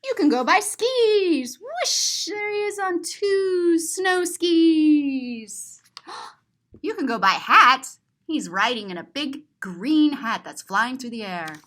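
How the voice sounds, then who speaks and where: A woman reading a picture book aloud to children in an expressive, sing-song way, drawing out words and describing the pictures. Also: sits high, slow. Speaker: children's story reader and teacher.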